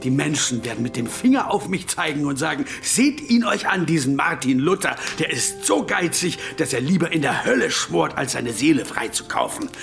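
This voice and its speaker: An actor playing an anguished, guilt-ridden, drunken monk in a historical radio drama, pleading and fretful. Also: male voice, medium pitch, creaky, fairly bright tone.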